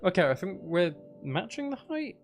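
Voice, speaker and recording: A man's voice: high voice, sing-song, normal speed, soft background music. A man giving casual livestream commentary on a game, thinking aloud to his viewers in a musing way. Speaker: game streamer.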